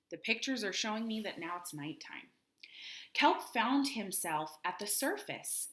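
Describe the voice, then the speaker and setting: Female primary teacher, storytime read-aloud for kids, mid-range pitch, unhurried, asks the listeners questions as she goes. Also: fairly bright tone. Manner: instructional, addresses children with questions and prompts.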